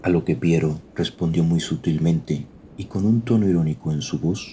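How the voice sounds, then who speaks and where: A man's voice: sits low, moderate pace, dark tone, a little hiss or hum. A man narrating a scripted fiction story as a dramatic narrator.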